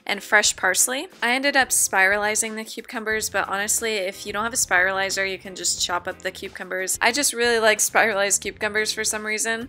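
Bright-voiced young woman chatting through a recipe. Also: medium pitch. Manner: chatty, explaining steps to viewers. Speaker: vlogger. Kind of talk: casual cooking vlog. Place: home kitchen, indoors.

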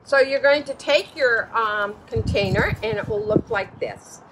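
A woman's voice: on the high side, measured pace. A woman in her sixties showing young children how to garden, encouraging them with praise and simple instructions. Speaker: children's storytime host. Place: outdoors at a table in the wind. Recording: breezy outdoor noise, birds.

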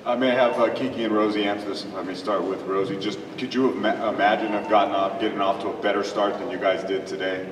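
A male reporter asks his questions quickly, in a low voice. He hesitates with a few ums and uhs. His voice echoes from a distance across a large hall. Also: steady tone. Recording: echoey hall, distant mic, room noise.

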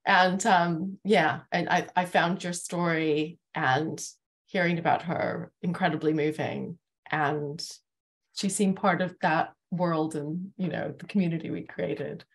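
A woman's voice: on the low side, steady tone, a little slow. A woman in her forties hosting a thoughtful panel discussion. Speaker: host, moderator. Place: living room, indoors. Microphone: video call, laptop.

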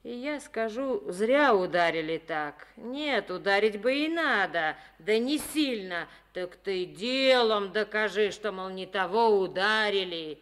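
A woman's voice: medium pitch, lively intonation, slow, hardly any pauses, fairly bright tone. Bold folksy farm woman in soviet radio play, takes her time talking, teasing and blunt. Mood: chiding, encouraging. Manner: folksy, teasing, forthright speech. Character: bold, teasing, warm-hearted woman collective farm chair.

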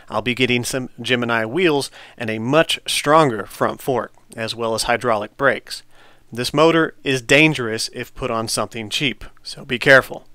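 A man narrating a scripted product review as a vlogger, in a measured, matter-of-fact way. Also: mid-range voice, bright, forceful tone, clean tone, dry recording. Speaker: vlogger, motorized bike reviewer.

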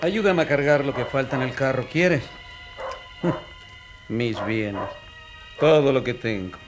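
An actor playing a poor, weary peasant who speaks plainly and with resignation in a radio drama. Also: male voice, mid-range voice, slow pace, lightly acted. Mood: weary, resigned. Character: weary poor peasant man leaving his land. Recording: music behind the voice.

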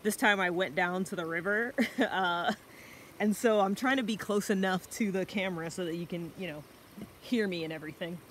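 A woman's voice: fairly low pitch, moderate pace, fairly bright tone. A young woman giving a casual, self-reflective explanation to camera in an informal, conversational way. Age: young adult. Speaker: vlogger. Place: outdoors by a river among trees. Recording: noisy outdoor ambience.

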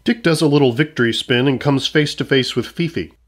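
A man's voice: medium pitch, fairly quick. A man reading scripted narration for a comedy audio drama. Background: music.

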